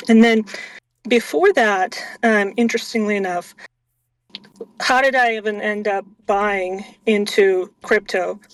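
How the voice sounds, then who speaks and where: Woman, 30s, from Russia, flat measured speech, ums, dry recording. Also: mid-range voice, no echo, far from the mic, low-quality recording. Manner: even, measured storytelling with frequent ums. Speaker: guest, venture investor. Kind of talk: casual interview chat.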